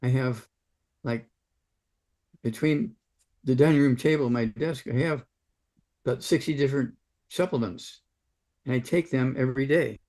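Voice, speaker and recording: A man's voice: mid-range voice, moderate pace, long pauses, a little mellow. Rambling man in his eighties. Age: 80s. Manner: folksy, rambling, frequent "okay?" tags. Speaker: supplement advocate doctor answering callers. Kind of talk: casual call-in health advice.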